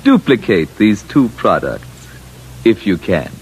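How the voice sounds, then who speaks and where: An actor playing a courteous, smugly confident alien official in an old radio drama, speaking stiffly and precisely without contractions. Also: male voice, on the low side, very animated, slow pace, a little hiss or hum, full character voice. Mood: coolly smug. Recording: muffled vintage radio broadcast.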